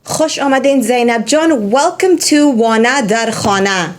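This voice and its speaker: A woman's voice: fairly high pitch, animated, very fast. A woman hosting a talk show and interviewing her guest, talking with many fillers and restarts. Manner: warm, hesitant, with many fillers.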